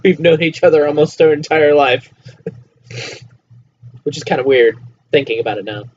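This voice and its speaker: A young man hosting a casual podcast with a friend, joking around and breaking into loud shouts and laughter. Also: fairly high pitch, moderate pace. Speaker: podcast host. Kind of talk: casual chat. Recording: dull lo-fi home recording with faint hiss.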